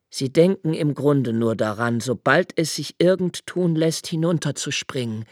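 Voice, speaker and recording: An actor playing a brooding, solitary male lodger who muses precisely and haltingly in a literary radio play monologue. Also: a little high, dry recording, clean studio sound. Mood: agitated, insistent. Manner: deliberate, precise, halting self-corrections. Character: brooding, obsessively observant solitary male lodger, musing alone.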